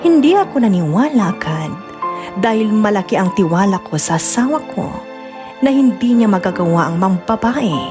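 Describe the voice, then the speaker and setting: A woman reading a first-person letter in a calm, measured storytelling way. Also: medium pitch. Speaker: narrator. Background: music.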